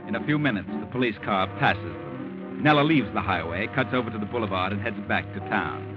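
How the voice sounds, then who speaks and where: An actor narrating a 1940s radio crime drama as an ominous storyteller, with scripted delivery. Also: male voice, fairly low pitch, animated, a little fast, over music, close to an everyday voice. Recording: dull old-time radio recording.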